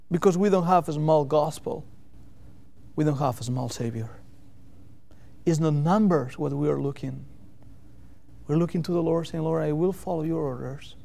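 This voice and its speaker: High-voiced Catalan-accented man preaching. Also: vocal fry, darkish tone. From Catalonia, Spain. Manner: earnest, rhetorical repetition with deliberate emphatic phrases. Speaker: missionary preacher. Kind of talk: sermon.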